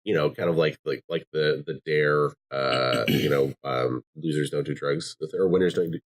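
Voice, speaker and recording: A man's voice: very deep voice, normal speed. A man hosting a podcast in casual chat, swearing freely and often slipping into quoted voices. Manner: animated, profane, jumps into quoted voices. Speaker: podcast host.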